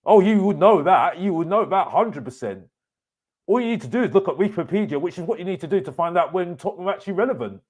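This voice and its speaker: A man hosting a live football fan reaction show, giving casual opinions in an emphatic way, repeating phrases for effect and often breaking sentences up haltingly. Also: high voice, expressive, darkish tone.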